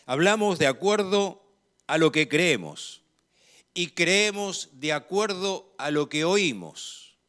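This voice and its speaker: Slow-speaking Argentine preacher man. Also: on the high side, lively intonation, fairly bright tone. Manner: measured, emphatic preaching that swells in volume on key phrases. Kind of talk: sermon.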